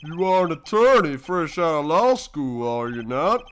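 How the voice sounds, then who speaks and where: High-pitched pompous corporate boss. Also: male voice, sing-song, a little slow, fairly bright tone. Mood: condescending. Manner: grandiose, affected wording, condescending. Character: pompous, arrogant male corporate boss, smug and theatrical. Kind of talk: theatrical video game fandub acting. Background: music.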